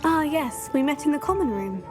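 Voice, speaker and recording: High-voiced polite schoolgirl. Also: lively intonation, a little fast, music in the background. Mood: agreeable. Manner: courteous, composed. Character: polite, helpful teenage schoolgirl witch, new student. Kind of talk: video game voice acting.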